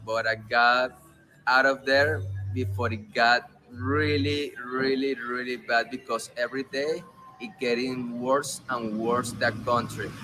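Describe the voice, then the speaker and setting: A man from Venezuela with a Venezuelan Spanish accent explains things in halting, casual English as an interview guest, repeating words for emphasis. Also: mid-range voice, steady tone, pushed and bright, soft background music, rough recording. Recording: clear background noise.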